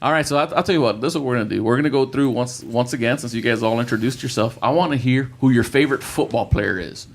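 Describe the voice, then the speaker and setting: A man's voice: mid-range voice, fast, few pauses. A man hosting a casual sports podcast as a football coach, upbeat, with many "uh" fillers and repeated catchphrases. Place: indoor room with children present.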